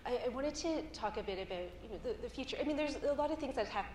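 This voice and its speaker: A woman's voice: a little high, expressive, fast, a little mellow, far from the mic. Female moderator, 40s, from the Toronto area, casual and laughing, roomy hall with noise behind. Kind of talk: panel discussion. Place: conference stage, indoors.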